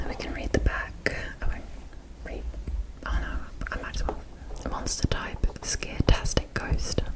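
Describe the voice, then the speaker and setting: Female ASMR host, hushed whisper, casual unscripted chat, short phrases with pauses. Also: low-quality recording. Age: adult. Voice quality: soft unvoiced whisper.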